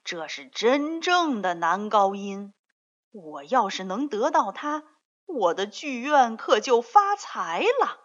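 A woman voicing an excitable, money-minded male theatre conductor in a children's story, gushing and self-important. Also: on the high side, takes it slowly, muffled recording, heavily acted. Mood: astonished, greedy.

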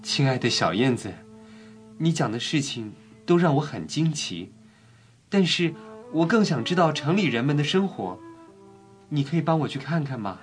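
A compassionate young prince from a storybook drama, acted by a man, speaks in a fairly high, very expressive voice. He is earnest and tender, pleading with quiet sorrow. Faint music plays underneath. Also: normal speed. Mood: tender, grateful. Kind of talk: dramatised fairy-tale storybook acting.

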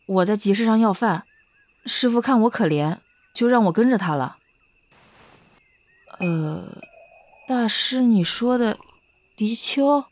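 A woman's voice: mid-range voice, a little mellow, close to an everyday voice. A woman playing a young female disciple disguised as a boy, polite, questioning and hesitant, in a Chinese period audio drama.

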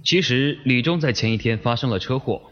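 A man's voice: medium pitch, fairly quick, fairly bright tone, lightly acted. A man playing a methodical police detective questioning someone in an audio drama, businesslike and pressing. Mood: explanatory, pressing. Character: male police detective, probing, methodical, firm interrogator. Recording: slightly dull, lo-fi microphone sound.

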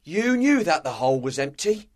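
A man voicing jittery, superstitious pirate crewmen in a storybook, exclaiming excitably. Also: high-pitched, a little slow, voice pushed up, exaggerated voice. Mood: agitated. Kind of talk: dramatised storybook reading.

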